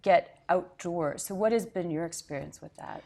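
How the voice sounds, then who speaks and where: A woman's voice: on the low side, normal speed, mellow. A woman hosting a TV interview, asking encouraging follow-up questions and laughing easily. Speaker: TV interview host. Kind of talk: conversational interview.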